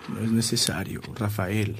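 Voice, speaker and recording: An actor playing a devoted, reassuring brother in a radio drama, measured and consoling, with a Mexican accent. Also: male voice, lowish voice, steady tone, soft background music, close to an everyday voice.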